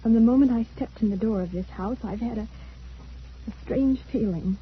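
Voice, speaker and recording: A woman's voice: on the high side, moderate pace, husky. An actress playing an anxious, earnest young woman, frightened yet resolute, in an old radio drama. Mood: uneasy, hesitant. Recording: dull, faintly hissy old radio recording.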